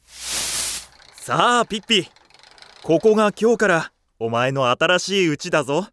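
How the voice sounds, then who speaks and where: A gentle, slightly high male voice as a bookish window cleaner in a radio play. He speaks with tender affection, as to a beloved pet. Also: sing-song, slow, no room sound, studio quality, exaggerated voice. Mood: tender, fond. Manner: tender, fond. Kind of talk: audio drama acting.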